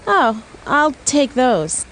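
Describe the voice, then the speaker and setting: A chipper cartoon woman reporter, very high in pitch. She speaks politely and conversationally, with big swings of intonation. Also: sing-song, extremely slow, a bit bright, slight background noise, exaggerated voice, rough recording. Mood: polite, casual. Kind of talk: animated comedy dialogue.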